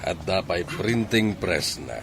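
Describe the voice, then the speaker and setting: A man's voice: low voice, expressive, slow pace, creaky, a bit bright, a little hiss or hum, heavily acted. A man playing a friendly, boastful older village father with a Filipino accent in a radio drama. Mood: persuading.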